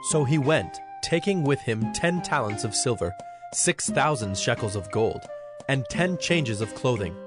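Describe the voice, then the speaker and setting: A man's voice: mid-range voice, expressive, a little slow. A man giving scripted narration for a dramatized scripture recording. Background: instrumental music.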